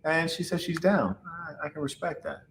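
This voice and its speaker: A man's voice: a little high, animated, moderate pace, a bit bright, echoey room, slight background noise. A man hosting a casual reaction chat, talking bluntly and teasing his co-host. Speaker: podcast host.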